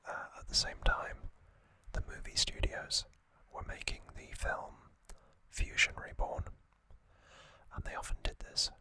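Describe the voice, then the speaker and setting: A man whispering trivia as an ASMR host into a close microphone, softly, with frequent ums and uhs. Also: a little slow. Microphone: close sensitive mic.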